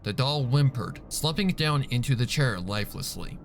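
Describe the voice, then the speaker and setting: A man's voice: medium pitch, expressive, moderate pace, creaky, a little hiss or hum. A man reading a story aloud as scripted, dramatic narration. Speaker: fan fiction narrator. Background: background music.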